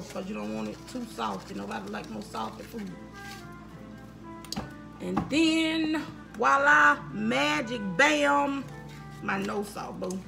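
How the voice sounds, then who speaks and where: A woman's voice: fairly high pitch, creaky. A woman, chatting casually with viewers in her home kitchen, filmed by a camera on the counter. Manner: chatty, folksy, talks to viewers as y'all. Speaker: cooking vlogger. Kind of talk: casual cooking vlog talk to viewers. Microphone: camera on the counter, moved around. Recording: echoey kitchen with background music.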